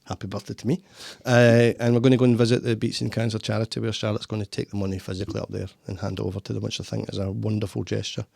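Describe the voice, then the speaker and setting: A man's voice: lowish voice, flat delivery, very fast, gravelly, hardly any pauses, darkish tone, dry recording. A Scottish man hosting a casual podcast chat from his studio, rambling with frequent restarts. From Scotland. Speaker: podcast host. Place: home studio.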